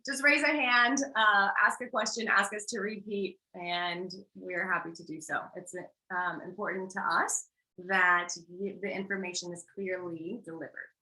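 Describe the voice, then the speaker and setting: Woman, American accent, life coach, upbeat and reassuring, very bright voice, echoey room, video call. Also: mid-range voice, a little slow. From United States. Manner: upbeat and reassuring, laughs often. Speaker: life coach and workshop co-host. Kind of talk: casual online workshop hosting.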